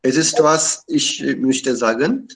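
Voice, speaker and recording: Slow, hesitant man from India. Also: mid-range voice, gravelly, rough recording. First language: Indian language. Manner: halting, many fillers. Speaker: club member sharing views. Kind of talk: hesitant casual talk in learner German.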